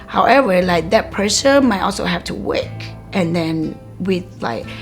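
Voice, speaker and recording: A woman from Myanmar whose first language is Karenni, speaking as a community health worker in an interview. She talks in a measured way with frequent "um" and "like" pauses. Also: fairly low pitch, animated, soft background music.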